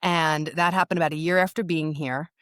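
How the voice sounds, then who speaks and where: A woman's voice: deep voice, fairly even intonation, fairly quick, fairly bright tone, no room sound, clean studio sound. Middle-aged woman with a New York accent. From New York, USA. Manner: candid, emphatic, repeats words for stress. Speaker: podcast guest, loss and empowerment coach. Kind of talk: personal storytelling in a podcast interview.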